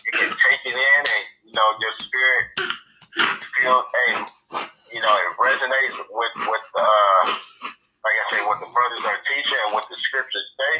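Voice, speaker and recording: A man's voice: medium pitch, fairly even intonation, moderate pace, noisy background. A man explaining scripture in a casual preaching talk, checking in with "right?" again and again.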